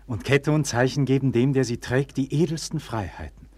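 A man's voice: mid-range voice, moderate pace, darkish tone, husky, no room sound, lightly acted. An actor playing a confident, affectionate nobleman in a 1940s German radio play, declaiming theatrically and shifting between grand and intimate delivery. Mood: assured. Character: confident, affectionate nobleman and count, warm lover. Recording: old 1940s radio recording.

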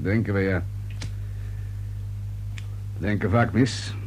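An actor playing a probing, patient police inspector in a radio play, with terse, dry questioning. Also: male voice, lowish voice, steady tone, slow pace, a little mellow, a little hiss or hum. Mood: polite, casual.

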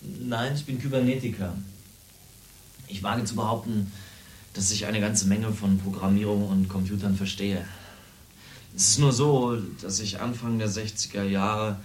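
An actor playing an eccentric, talkative cyberneticist and psychiatric patient in a radio drama, confiding in a rambling, hesitant way. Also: male voice, on the low side, fairly even intonation, measured pace, close to an everyday voice. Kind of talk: naturalistic radio drama dialogue.

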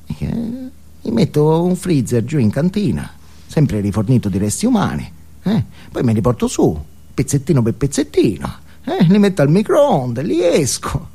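A man's voice: a little high, huge pitch swings, moderate pace, creaky, breathy edge, heavily acted. An actor playing an unhinged, foul-mouthed, alienated man in an intense, volatile comic stage monologue. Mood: deranged. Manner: intense, volatile, emphatic, swinging from muttering to yelling.

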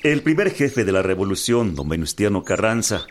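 Ceremonious, animated political envoy. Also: male voice, low-pitched, moderate pace, faint music behind. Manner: formal, declamatory. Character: ceremonious male political envoy addressing a revolutionary general. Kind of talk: radio drama acting.

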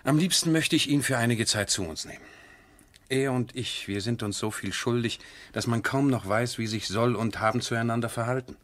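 An actor playing an eager, persuasive aristocratic husband in a radio drama, speaking in an earnest, coaxing, gentlemanly way. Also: male voice, medium pitch, normal speed, close to an everyday voice. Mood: earnest, urging. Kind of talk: 1970s German radio drama acting.

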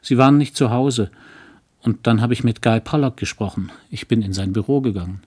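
A man voicing a restless young wife, hushed and brooding. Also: medium pitch, brisk, husky, close to an everyday voice. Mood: bright evasive. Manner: brooding inner monologue, partly whispered. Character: restless young newlywed wife, dreamy, self-doubting. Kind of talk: audiobook reading.

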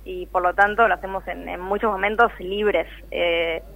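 A woman's voice: lowish voice, steady tone, normal speed, vocal fry. A woman with an Argentine accent speaking as an interview guest on a phone call, earnest and a little hesitant. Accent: Argentine Spanish. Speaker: interview guest, activist behind a data project. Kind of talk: spontaneous radio interview. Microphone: telephone call.

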